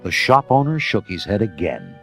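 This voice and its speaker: A male narrator reads a scripted storybook with dramatic, measured delivery. His voice is very low and gravelly, yet moves expressively up and down. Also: huge pitch swings, a bit bright. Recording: music under the narration. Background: music.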